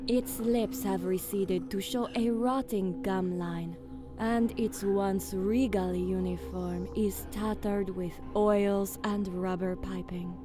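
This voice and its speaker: A woman performs a solemn alien commander from a science fiction audio drama. She speaks slowly and with weight, measured and dramatic, in a mid-range voice with music behind it. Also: medium pitch, fairly even intonation, lightly acted. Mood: grave. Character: alien woman commander, grave narrator, fierce when giving orders. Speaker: narrator and lead character. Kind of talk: dramatic first-person audio drama narration.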